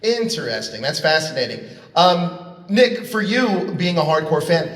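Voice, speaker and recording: A man's voice: on the high side, sing-song, a little slow, fairly bright tone. A man moderating a convention panel with casual interview questions. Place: large convention hall panel. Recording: echoey hall, distant PA sound.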